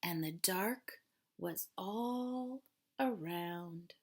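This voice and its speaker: A woman's voice: lowish voice, extremely slow, breathy edge. A woman teacher reading a children's story aloud, expressively and dramatically, with explanatory asides. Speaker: elementary school teacher.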